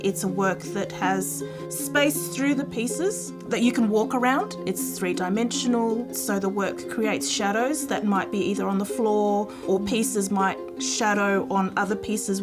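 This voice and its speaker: Measured woman artist over guitar music. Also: mid-range voice, steady tone. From Uruguay. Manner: thoughtful, measured, with pauses. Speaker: textile artist. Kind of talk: reflective interview. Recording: music bed under voice.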